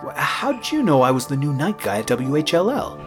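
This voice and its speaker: Jittery, animated radio DJ. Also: male voice, fairly high pitch, fairly quick, music in the background. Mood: skeptical. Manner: hesitant, stammering, incredulous. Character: rookie late-night radio DJ, jittery everyman. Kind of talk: horror audio drama acting.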